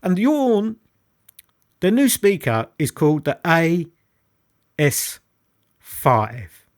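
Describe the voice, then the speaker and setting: A man's voice: on the high side, sing-song, slow pace. A man giving an enthusiastic, unscripted product talk, emphatic and repetitive, putting rhetorical questions to the listener. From United Kingdom. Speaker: hi-fi product reviewer and presenter.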